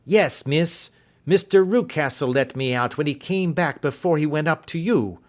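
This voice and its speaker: A man's voice: a little high, moderate pace, darkish tone, pitched above the performer's own voice, lightly acted. A man voicing a tall, gaunt woman servant who speaks plainly and earnestly.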